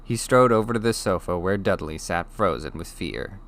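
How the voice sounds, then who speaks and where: A man reading a children's novel aloud as an audiobook, in expressive scripted narration. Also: low-pitched, normal speed. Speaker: audiobook reader.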